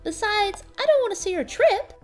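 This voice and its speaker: A woman's voice: very high-pitched, expressive, moderate pace. A woman voicing a sweet, chipper little girl pony in a parody cartoon, with music behind her. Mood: playful. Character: sweet squeaky little filly, cheerfully criminal cartoon pony.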